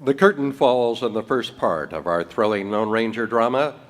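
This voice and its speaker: A man's voice: medium pitch, lively intonation, normal speed, over loud music. A man narrating in the scripted style of an old-time radio drama announcer.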